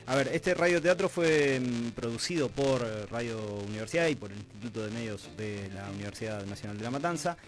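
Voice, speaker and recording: A man with an Argentine accent hosting a conversational radio interview, reflective and halting as he builds long questions. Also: mid-range voice, expressive, low-quality recording. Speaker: radio host interviewing guests. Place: radio studio.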